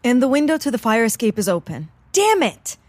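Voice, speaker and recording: A tough, sharp-tongued secret agent in a comic audio drama. The highish voice swings with exasperation, blunt and sardonic. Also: female voice, sing-song, a little fast. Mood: urgent.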